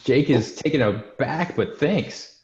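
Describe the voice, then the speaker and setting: Guy narrating a script, medium pitch, echoey n muffled audio. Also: animated, slow pace, a little mellow. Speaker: stage directions reader. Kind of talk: scripted narration, screenplay table read.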